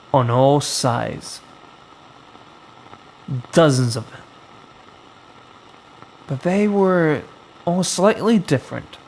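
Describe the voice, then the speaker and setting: Very slow, gravelly male horror storyteller. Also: medium pitch, slight background noise, poor audio. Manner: slow, dramatic, suspenseful delivery with long pauses. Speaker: storyteller, host of a horror anthology. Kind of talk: scripted horror story narration.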